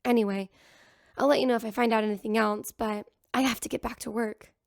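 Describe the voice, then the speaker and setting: A woman voicing an excitable ship's doctor who talks in a confiding, eager way in a radio drama. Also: on the high side, a little fast, creaky, dry recording. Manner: breathless, confiding. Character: excitable female ship doctor and scientist.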